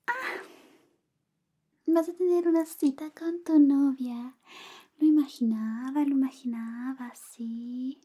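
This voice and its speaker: A young woman playing a sly, clingy female best friend secretly in love, in a soft, whispery, coy ASMR roleplay. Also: high-pitched. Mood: fake sweetness. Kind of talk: Spanish ASMR roleplay, personal attention.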